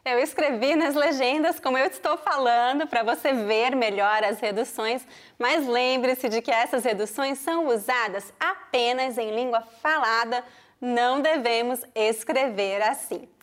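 A high-pitched woman from Brazil with a mixed regional Brazilian accent. She teaches pronunciation, explaining clearly and deliberately and sounding out examples. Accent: Brazilian Portuguese, mixed regional. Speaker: Portuguese teacher. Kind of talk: pronunciation lesson.

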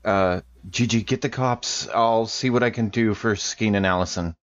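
A man's voice: lowish voice, moderate pace, muffled recording. A man playing a tabletop game, hesitant and full of fillers. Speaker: player. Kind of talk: casual play at a tabletop game.

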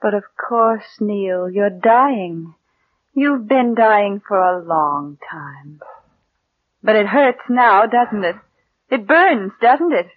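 An American actress playing a cold, calculating murderess who passes as meek, in controlled, deliberate mid-century radio suspense style. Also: female voice, medium pitch, expressive, no room sound. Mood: coldly gloating. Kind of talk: 1940s-50s American radio suspense acting and narration. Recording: muffled vintage radio recording.

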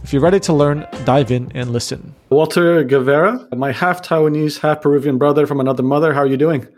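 A man hosting an interview podcast, reading a measured intro and then asking questions in a friendly, chatty way. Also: fairly high pitch, faint music behind. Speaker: podcast host. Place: Kuala Lumpur.

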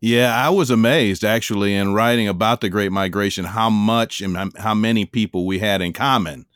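A man from Chicago hosting an interview, asking questions in a measured, curious way. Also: lowish voice, a bit bright, smooth, no room sound, studio quality. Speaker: interviewer, host. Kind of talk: conversational interview.

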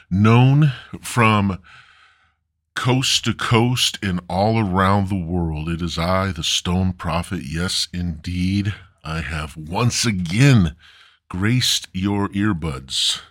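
A man hosting a solo comedy podcast, rambling casually and crudely with drawn-out, mock-grandiose theatrical delivery. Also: sits low, no room sound, clean studio sound. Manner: crude, foul-mouthed. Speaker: podcast host.

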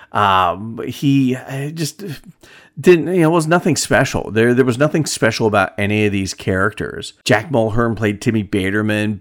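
A man hosting a movie review podcast, talking casually and bluntly, with some swearing and a disgruntled edge. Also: on the low side. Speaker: film review podcast host.

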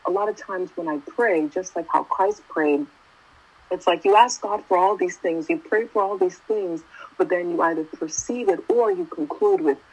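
A woman's voice: fairly low pitch, normal speed, a little mellow, rough recording. A woman in her twenties giving a casual sermon, talking conversationally and often asking "right?". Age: 20s. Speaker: youth preacher.

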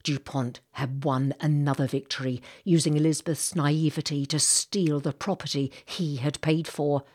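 A woman narrating fiction as an audiobook reader, with measured, dramatic storytelling. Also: deep voice, fairly even intonation, a little mellow, breathy edge.

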